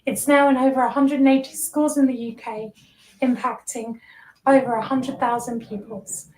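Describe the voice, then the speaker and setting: Higher-voiced woman host, formal intro, roomy echo. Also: steady tone, normal speed, darkish tone. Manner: polished, formal. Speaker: event host introducing a speaker. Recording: echoey hall mic.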